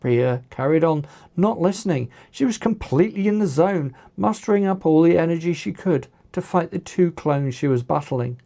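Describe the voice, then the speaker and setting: A narrator reading an action story as its author, with animated, dramatic delivery and acted dialogue. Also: medium pitch, moderate pace, vocal fry, hardly any pauses. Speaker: author narrating own book.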